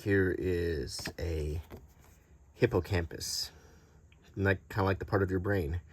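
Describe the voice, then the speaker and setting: A man hosting an unscripted, ASMR-like show-and-tell in a halting, casual way. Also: low voice, fairly even intonation, slight background noise. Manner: halting, unscripted, hesitant delivery. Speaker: host.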